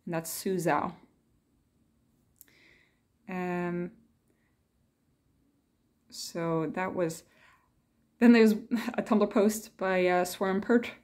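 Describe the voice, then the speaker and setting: A woman's voice: lowish voice, little pitch movement, extremely slow, pauses often, a little mellow. A woman vlogger talking casually and reflectively in a hesitant, rambling way, reading quotes aloud between her own thoughts.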